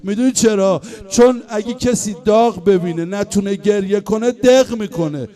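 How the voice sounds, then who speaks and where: A man's voice: sits high, warm tone. A male religious eulogist delivering a chanted mourning sermon, emotional and wailing, sliding into half-sung lament.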